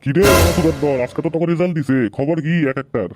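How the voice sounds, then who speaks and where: A performer playing a gruff adult tea-stall keeper in a comedy cartoon, blunt, mocking and scolding. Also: mid-range voice, rapid-fire, loud music behind the voice, natural voice. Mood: wary, needling.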